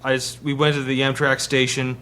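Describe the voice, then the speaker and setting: A man co-hosting a podcast, telling a story casually in a halting way, circling back over his words. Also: mid-range voice, flat delivery, bright, forceful tone. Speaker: podcast co-host.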